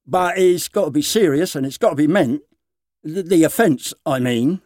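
A man's voice: a little high, normal speed, darkish tone, dry recording, studio quality, pitched above the performer's own voice, close to an everyday voice. A man voicing a cowed man in a storybook reading, careful, diplomatic and pleading in manner. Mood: nervously diplomatic. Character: cowed, careful, placating man named Dave.

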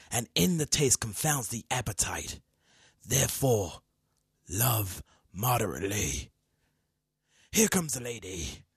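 A man acting a grave, cautioning friar in a Shakespeare audio play, measured and solemn, with a gravelly, husky voice. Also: mid-range voice, no room sound, clean studio sound, full character voice.

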